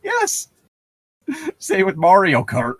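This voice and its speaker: A man's voice: high voice, huge pitch swings, slow pace, no echo. A man co-hosting a comedic read-aloud, bantering casually with a sarcastic, teasing manner and ready laughter. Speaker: co-host of a comedic book-reading show.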